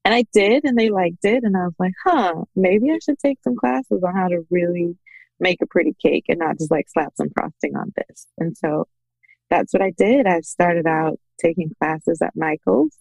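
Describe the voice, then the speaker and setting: A woman in her thirties from the Bahamas, telling personal stories conversationally as an interview guest, animated when she quotes people. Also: lowish voice, normal speed, gravelly, dry recording, poor audio. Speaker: podcast guest, small business owner.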